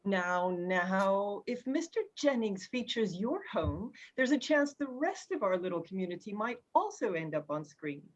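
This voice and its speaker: A woman's voice: mid-range voice, very animated, measured pace, few pauses. A woman playing a pushy, syrupy, snobbish neighbor who promotes herself, in a drama table read. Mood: coaxing. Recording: dull lo-fi microphone sound.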